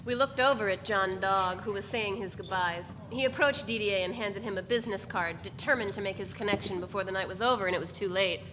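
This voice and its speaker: A woman reading the narration of a novel aloud in a measured, reflective way at a live dramatic reading. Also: medium pitch, music in the background. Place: large echoey event space. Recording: long hall reverb, faint room noise.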